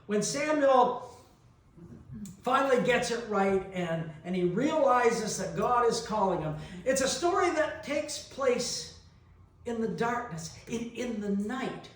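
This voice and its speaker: A middle-aged man preaching a reflective sermon, deliberate in delivery, with long pauses and rhetorical questions. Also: very high voice, lively intonation. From New Brunswick, Canada. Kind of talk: reflective sermon with personal anecdotes. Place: large reverberant church interior. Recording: echoey church, picked up from a distance.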